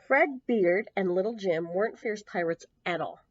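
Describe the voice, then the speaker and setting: A woman's voice: medium pitch, normal speed, no echo. A woman reads a children's story aloud in a mid-range voice. She leans on key words and stretches them out for effect. The sound is dry and a little lo-fi, with the top end dulled. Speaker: children's storyteller at a public library. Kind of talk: expressive picture-book read-aloud for young children. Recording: dull lo-fi, close dry sound.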